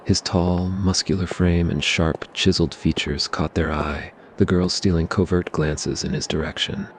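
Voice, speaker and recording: A man narrating a scripted ASMR roleplay story in a measured, even way. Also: low-pitched, slight background noise.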